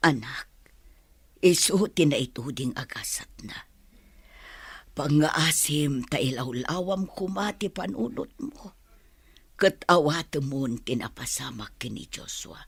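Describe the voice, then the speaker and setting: A woman playing a worried, gentle middle-aged mother in an audio drama, breathy and pleading, with an Ilocano Filipino accent. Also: low voice, animated, slow pace, dry recording, exaggerated voice. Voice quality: breathy, husky.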